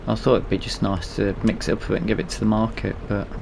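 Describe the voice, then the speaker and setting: A man's voice: lowish voice, little pitch movement, fast, vocal fry, background noise. A man giving casual, rambling let's play commentary, often muttering to himself as he goes. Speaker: gaming let's play host.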